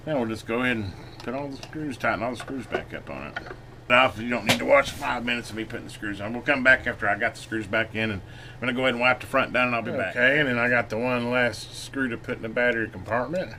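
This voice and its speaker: A man giving a casual hands-on repair demonstration, folksy and unhurried as he talks while working. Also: mid-range voice, pushed and bright. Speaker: channel host doing electronics repair. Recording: noisy room, clearly audible background noise.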